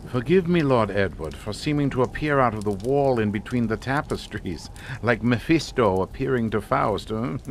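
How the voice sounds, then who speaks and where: An actor playing a suave, sinister aristocratic count in a radio suspense drama, speaking in a formal, courtly, deliberate way. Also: male voice, medium pitch, expressive, slight background noise, lightly acted. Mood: amused, urbane.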